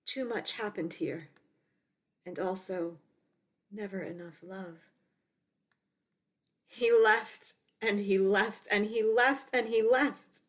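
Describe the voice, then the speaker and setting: A woman poet reading her poetry aloud in short, deliberate phrases with pauses. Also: medium pitch.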